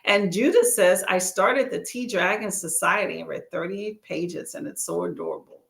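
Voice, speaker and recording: A woman's voice: fairly low pitch, moderate pace, vocal fry, a bit bright, echoey room. A woman in her thirties from Texas with a light Southern accent, chatting casually and laughing often as she hosts a book livestream. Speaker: booktube livestream host. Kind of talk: casual live chat.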